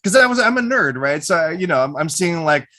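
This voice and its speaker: Male filmmaker guest from the Philippines: bright, a bit high, easygoing and nerdy on a video call. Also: lively intonation, fairly quick. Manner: easygoing and nerdy, laughing, with many restarts and "like"s. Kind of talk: casual interview over video call.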